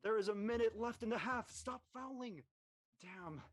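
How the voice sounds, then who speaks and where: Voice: medium pitch, moderate pace, lightly acted. An actor playing a brash, slangy, easily exasperated teenage basketball player in a scripted drama. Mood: exasperated, teasing. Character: cocky teenage boy high school basketball player.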